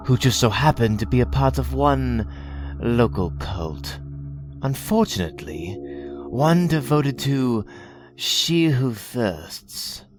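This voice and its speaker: An actor playing a sly, mocking alien raider lord in an audio drama, talking with mock politeness and theatrical menace. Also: male voice, mid-range voice, darkish tone. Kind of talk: scripted audio drama acting.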